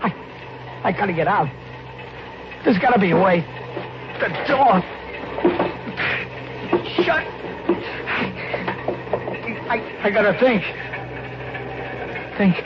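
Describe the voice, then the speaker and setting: An actor playing a desperate, guilt-haunted drifter in a 1950s radio drama, narrating in a tense, halting, colloquial American voice. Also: male voice, high voice, animated, heavy background noise. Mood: panicked. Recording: muffled 1950s radio recording, music behind.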